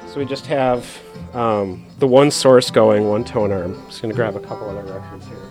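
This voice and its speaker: A man's voice: fairly low pitch, steady tone, normal speed. A man explaining things in a casual, conversational way as a record collector and DJ giving a hands-on demonstration. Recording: music playing behind the voice. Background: old records playing.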